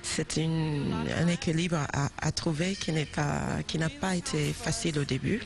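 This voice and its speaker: A woman's voice: deep voice, steady tone, moderate pace, creaky. A woman from South Carolina with an American accent, answering interview questions in French. She is thoughtful and hesitant, with many fillers and restarts. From South Carolina, USA. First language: English. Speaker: singer, interview guest.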